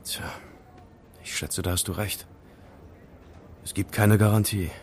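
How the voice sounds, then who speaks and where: An actor playing a casual amateur sleuth who recounts intrigue in a wry, conversational way in an audio drama. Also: male voice, lowish voice, steady tone, measured pace, a little mellow, slight background noise. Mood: puzzled, musing. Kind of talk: German audio drama dialogue.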